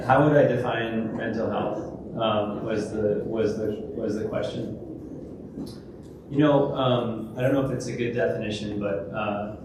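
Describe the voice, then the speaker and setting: A man's voice: lowish voice, slow, pauses often. Man speaking in an echoey hall. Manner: hesitant, many fillers and restarts. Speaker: conference speaker. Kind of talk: presentation and Q&A. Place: large event hall. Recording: echoey hall from a distance, noisy.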